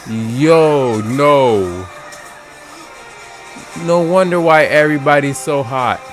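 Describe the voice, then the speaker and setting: A man's voice: medium pitch, expressive, takes it slowly. A man giving a casual, slangy, exclamatory live reaction as he streams game trailers. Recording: music behind the voice. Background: game trailer music.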